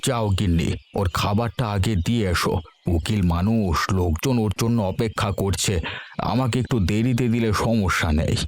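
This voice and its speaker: Low-voiced, patient village husband played by an actor. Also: male voice, moderate pace, natural voice. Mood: soothing. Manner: gentle, placating. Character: patient, soothing middle-aged village husband and shopkeeper. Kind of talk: Bengali fairy tale cartoon acting.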